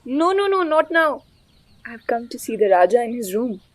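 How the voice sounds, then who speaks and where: Woman acting high-voiced, heartfelt young idealist. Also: moderate pace, no room sound. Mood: defiant. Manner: heartfelt, dramatic, expressive. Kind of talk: audio play acting.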